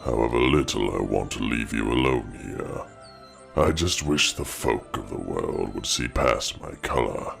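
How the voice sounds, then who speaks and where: Huge grumbly proud dragon, super deep, slow and swooping, fantasy audio drama. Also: male voice, expressive, a bit bright, soft background music, full character voice. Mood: wistful grumbling. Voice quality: very deep, gravelly. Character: proud, grumbling old blue male dragon, gruffly affectionate.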